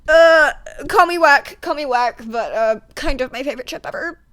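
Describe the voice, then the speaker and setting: A young woman with a high voice rambles in a casual, chatty way. She is excitable and emphatic, peppering her talk with slang and swearing as she addresses her listeners. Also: normal speed. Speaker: podfic reader.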